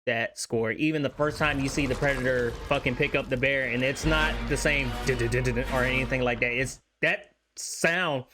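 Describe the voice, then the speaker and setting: A man's voice: mid-range voice, steady tone, normal speed, few pauses, music in the background. A man co-hosting a movie review, speaking casually and bluntly with heavy swearing. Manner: blunt, heavily profane. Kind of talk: casual film discussion.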